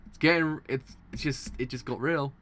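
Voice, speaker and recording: Excitable man reading comics aloud live. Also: medium pitch, normal speed, a little hiss or hum. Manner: excitable, stumbles and restarts while reading aloud, bursts into laughter. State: strained throat.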